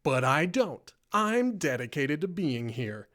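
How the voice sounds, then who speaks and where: A man's voice: fairly high pitch, sing-song, takes it slowly, a bit bright, heavily acted. A man reading aloud a staff message as a fed-up, scolding restaurant manager, stern and self-righteous. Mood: exasperated, scolding.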